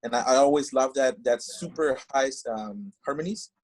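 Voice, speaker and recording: A man, a band singer guesting on a podcast, talking casually and haltingly as he searches for English words. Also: mid-range voice, darkish tone, poor audio. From Mexico. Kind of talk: casual interview chat.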